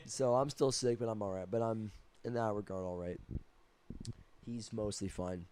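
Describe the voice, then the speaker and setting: A man's voice: on the low side, normal speed, darkish tone. Stuffy young man telling a rambling story. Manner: rambling, profane, restarts often. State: stuffy, sick with a cough. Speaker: podcast co-host. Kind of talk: casual storytelling chat.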